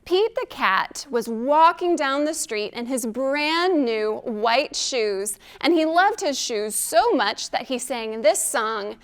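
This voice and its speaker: A woman with a high voice reads aloud for young children in a storytime style. Her pace is slow and deliberate, with expressive phrasing and questions aimed at the little ones. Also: clear, resonant tone. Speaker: children's librarian hosting storytime.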